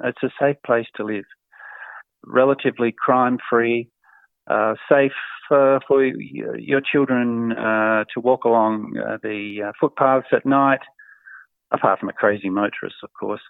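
Australian man, middle-aged, gravelly voice, hesitant interviewee, phone-thin sound. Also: mid-range voice, fairly even intonation, a little slow, no echo. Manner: hesitant, many fillers, warm chuckles. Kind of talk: casual interview answers. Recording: tinny phone-like line, dry.

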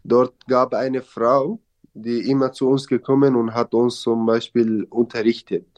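A man's voice: medium pitch, little pitch movement, measured pace, a little mellow, lo-fi sound. A young man from Syria with a Syrian Arabic accent, speaking German as a learned language in a casual interview, with grammar slips and hesitations. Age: young adult.

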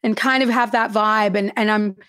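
A woman from the Middle East hosting a podcast interview, curious and playful with quick follow-up questions. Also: mid-range voice, steady tone, a bit bright, smooth, no room sound, clean studio sound. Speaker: podcast host. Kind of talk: casual interview chat.

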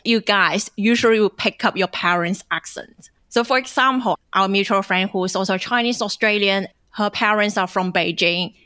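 A woman hosting a casual chat, quick and curious, asking her guests questions. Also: medium pitch, bright, forceful tone, smooth, no echo, studio quality.

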